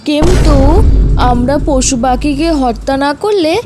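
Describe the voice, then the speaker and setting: A woman's voice: high voice, measured pace, background noise. A woman voicing an unrepentant male bird hunter in a cartoon, commanding and matter-of-fact. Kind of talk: cartoon character dialogue.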